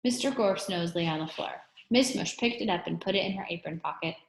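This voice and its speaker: Echoey low-voiced woman reading a story. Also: fairly quick, gravelly. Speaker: reader of a children's book. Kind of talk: read-aloud storytelling with character voices. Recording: echoey room.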